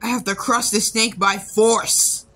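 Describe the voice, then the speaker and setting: A woman dubbing an excitable, exasperated cartoon cat in a dramatic, exclamatory fan-dub style. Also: fairly high pitch, a little slow, faint music behind.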